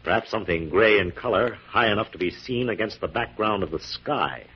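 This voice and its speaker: An actor playing an assured, methodical scientist hero with a measured, authoritative manner, in 1940s radio drama style. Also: male voice, lowish voice, a little hiss or hum, natural voice. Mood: businesslike, assured. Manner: crisp dictation, measured, authoritative. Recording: dull, muffled 1940s radio recording.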